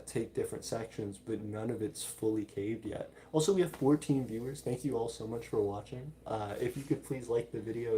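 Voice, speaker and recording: A man hosting a livestream in a casual, informal chat style, talking haltingly as he reads out and answers viewer comments. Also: on the low side, dark tone, slight background noise. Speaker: livestream host.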